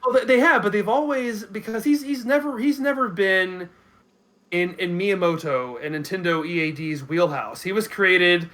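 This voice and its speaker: A man in a casual discussion as a guest commentator, haltingly repeating words as he works out his thoughts. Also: sits high, expressive, a bit bright. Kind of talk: casual film and game discussion.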